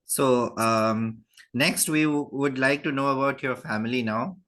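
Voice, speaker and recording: A man's voice: mid-range voice, measured pace, fairly bright tone. A man asking questions in a conversational interview. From India. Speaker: interviewer, surgeon.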